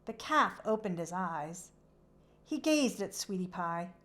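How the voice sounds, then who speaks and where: A woman who hosts children's read-alouds, narrating a storybook expressively in a measured, animated way. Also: fairly low pitch.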